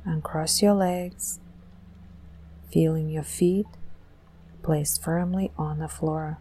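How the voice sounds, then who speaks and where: A woman leading a guided meditation in a gentle, soothing, deliberate manner with long pauses. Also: deep voice, steady tone, slight background noise.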